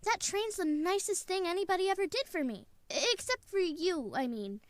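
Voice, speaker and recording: A woman's voice: very high-pitched, animated, normal speed, no echo. A woman playing an eager, earnest and polite young boy in an old-time radio drama.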